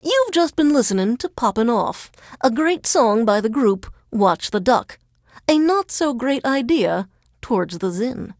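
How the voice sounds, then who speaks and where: A woman playing a sardonic female radio DJ in a video game, introducing songs in a deadpan, measured, formal announcer's delivery. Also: on the high side, no echo, studio quality. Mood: dry, composed. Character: dry, sardonic female radio DJ under alien occupation.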